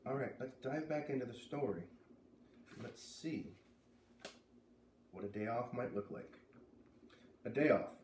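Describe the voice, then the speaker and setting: A man's voice: medium pitch, darkish tone. Male reader in his thirties for read-aloud narration; living-room echo. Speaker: storyteller reading a children's novel aloud. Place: living room indoors by a fireplace. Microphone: camera a few feet away. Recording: roomy camera sound, faint noise.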